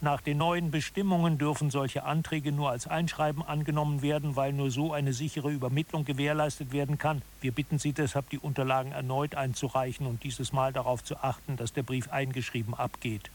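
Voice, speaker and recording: A man's voice: medium pitch, level tone, a little fast, hardly any pauses, natural voice. A man acting as the orderly, matter-of-fact narrator of a satirical radio adaptation of a management book. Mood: measured, dry. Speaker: narrator of an audio drama.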